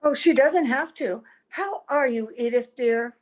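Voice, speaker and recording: Actress as high-voiced, unhurried, youthful wife. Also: female voice. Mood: sweet, polite. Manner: earnest, theatrical. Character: youthful, earnest, sweet wife dreading growing old. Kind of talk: old-time radio drama acting. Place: at home, recorded over video call.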